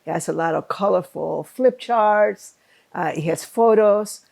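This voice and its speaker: Gravelly woman guest, emphatic. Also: medium pitch, measured pace, darkish tone. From Chile. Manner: emphatic, speaks in short bursts. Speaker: guest, program founder. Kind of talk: conversational interview.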